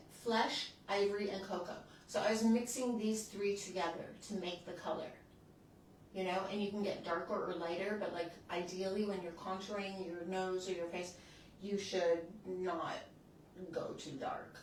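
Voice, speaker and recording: A woman's voice: mid-range voice, distant mic. A woman in her sixties walks through her makeup routine in a loose, chatty way, often breaking off mid-sentence as she works. Her voice sits back from the mic in a strongly echoing room, with a faint hiss underneath. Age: 60s. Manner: chatty, restarts sentences, talks while applying makeup. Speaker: beauty channel host. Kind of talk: casual makeup tutorial.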